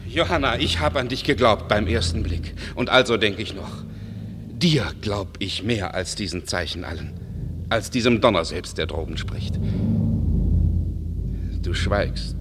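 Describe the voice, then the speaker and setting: An actor playing a loyal, chivalrous count, declaiming ardently in a classical verse radio drama. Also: male voice, sits low, a little slow. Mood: tender, earnest. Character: loyal, chivalrous male count and knight, passionately trusting. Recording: noisy recording with ambience.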